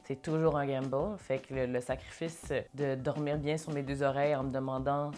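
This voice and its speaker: A Québécois woman with a notably low voice talks casually in an interview and slips English phrases into her French. Faint music plays quietly behind her. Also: flat delivery, normal speed. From Montréal, Québec. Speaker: interview guest, singer and dancer.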